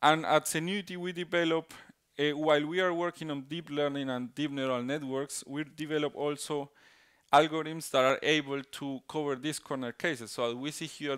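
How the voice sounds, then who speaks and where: Smooth-voiced Colombian man giving a talk. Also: fairly high pitch, fairly bright tone. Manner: halting, many restarts and fillers, tag "right?". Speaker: conference speaker. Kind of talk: technical conference talk.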